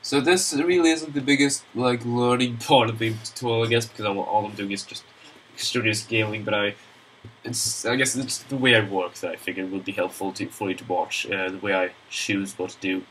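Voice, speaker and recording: A man's voice: lowish voice, steady tone, brisk, a bit bright, a little hiss or hum, low-quality recording. A young man with a Swedish accent, nasal from a cold, narrating a screen-recorded modeling tutorial in a rambling, chatty way with many fillers. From Sweden.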